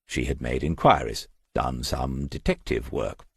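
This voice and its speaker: A man's voice: rumbling low, unhurried, creaky, slightly rough, no room sound, studio quality. A man narrating a crime novel as an audiobook, reading scripted prose.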